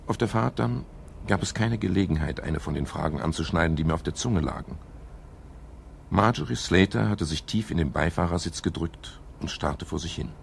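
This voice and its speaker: Gravelly, very deep world-weary private detective. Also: male voice, lively intonation, moderate pace, heavily acted. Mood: reflective narration. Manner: dry, sardonic, understated. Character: world-weary male private detective, dryly wry, narrating his case. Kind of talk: 1970s German radio crime drama acting and narration. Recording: faint hiss of 1970s radio production.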